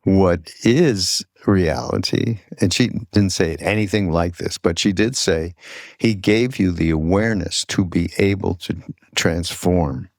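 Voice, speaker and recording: Older male podcast host, deep and unhurried, halting reflective storyteller, close dry sound. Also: no room sound. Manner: halting, searching for words.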